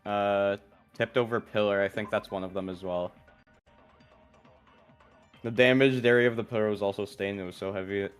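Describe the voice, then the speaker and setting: Low, clean-voiced casual male streamer. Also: steady tone, normal speed, pauses often, clean tone, soft background music. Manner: sweary. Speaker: game stream co-host. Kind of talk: casual live stream chat.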